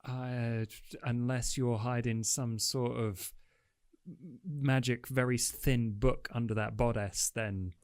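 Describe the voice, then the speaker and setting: A man plays his character in a tabletop game with a flippant, sarcastic edge. His voice sits at an ordinary pitch, recorded dry and close. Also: steady tone, slow, a little mellow, clear, resonant tone, no room sound. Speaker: player. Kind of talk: in-character roleplay.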